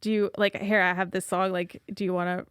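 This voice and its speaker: A young woman, a singer-songwriter guest, talking casually and thoughtfully in a podcast interview, soft-spoken with many fillers. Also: mid-range voice, level tone, a little fast, clean tone, dry recording.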